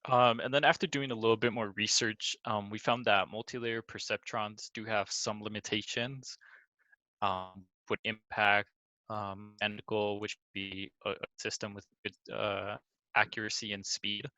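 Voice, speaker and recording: A man's voice: on the low side, level tone, measured pace, bright, forceful tone, no room sound, rough recording. A young man giving an informal student presentation of a project. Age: young adult.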